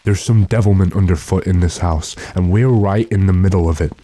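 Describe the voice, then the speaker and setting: A man playing a stern, determined gentleman in a radio drama, speaking in a commanding, impatient way. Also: deep voice, brisk, no echo. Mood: grim, resolute. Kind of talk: classic radio drama acting.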